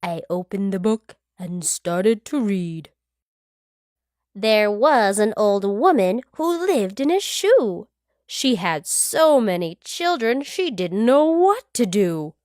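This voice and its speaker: A woman reading a children's picture book aloud, with expressive, unhurried delivery. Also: medium pitch, dry recording, clean studio sound. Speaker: storybook reader.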